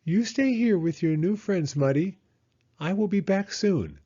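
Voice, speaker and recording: A man puts on a small female hedgehog for a storybook. The pitch is raised well up, and the delivery is earnest and determined, brave and loyal, with a slightly muffled sound. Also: lively intonation, a little slow, warm tone, breathy edge, heavily acted.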